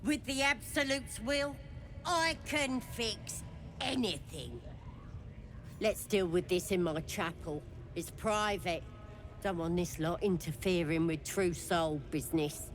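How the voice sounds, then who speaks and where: A woman voicing a sly, devout goblin priestess in a video game, coaxing and rough-spoken. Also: fairly low pitch, slow, slight background noise. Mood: sly, conspiratorial.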